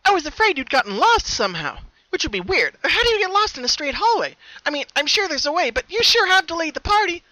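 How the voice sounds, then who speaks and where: A man's voice: extremely high pitch, very animated, quick pace, hardly any pauses, sharp tone, dry recording, voice pushed up, full character voice, poor audio. A man voicing a bubbly, impatient and menacing female pony double in a story reading, with a bouncy, huffy delivery. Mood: manic glee.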